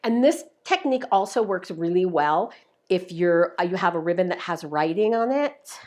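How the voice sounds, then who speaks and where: A woman's voice: fairly low pitch, animated, moderate pace, darkish tone. Chatty woman demonstrating crafts. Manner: chatty, upbeat step-by-step explaining. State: slightly losing her voice. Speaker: craft demonstrator, show host. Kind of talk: live-streamed craft tutorial.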